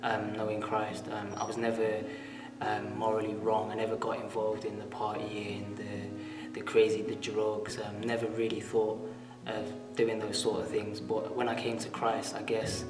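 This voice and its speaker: A man in his twenties giving an earnest, measured church testimony with frequent pauses. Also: fairly low pitch, monotone. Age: 20s. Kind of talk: testimony interview. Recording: echoey hall, mic far off, music behind.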